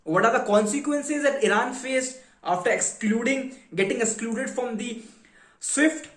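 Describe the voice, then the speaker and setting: Indian guy teaching, pretty high voice, asks questions then answers them, echoey room sound. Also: measured pace, a bit bright. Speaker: educator presenting a finance explainer. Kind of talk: informal explanatory lecture. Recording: roomy, echoing sound.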